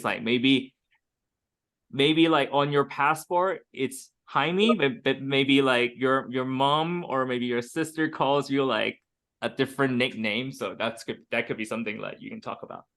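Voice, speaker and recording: A man's voice: mid-range voice, fairly bright tone. Man from Hong Kong, thirties, video-call English teacher, loose and chatty with lots of "like" and "uh". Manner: chatty, many fillers and restarts. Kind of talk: online group lesson.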